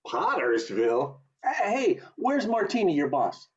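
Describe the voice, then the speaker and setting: A woman's voice: deep voice, sing-song, slow, darkish tone, full character voice. A woman acting a bewildered, agitated everyman in a radio play, stammering and urgent, over a video call. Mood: insistent. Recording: dull, lo-fi video call audio.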